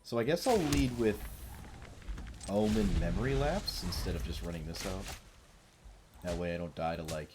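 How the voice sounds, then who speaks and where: A man's voice: low-pitched, normal speed, long pauses, noisy background. A man with a stuffy nasal voice from a cold, rambling casually as he streams a card game. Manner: mumbling, rambling, self-deprecating. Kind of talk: casual gameplay commentary.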